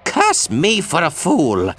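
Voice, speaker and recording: An actor playing a grave, anguished village priest in a video game, speaking in a solemn, theatrical, old-fashioned way. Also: male voice, fairly high pitch, wildly swooping pitch, slow, fairly bright tone, husky, soft background music, heavily acted. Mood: bitter, regretful. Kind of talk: dramatic video game dialogue.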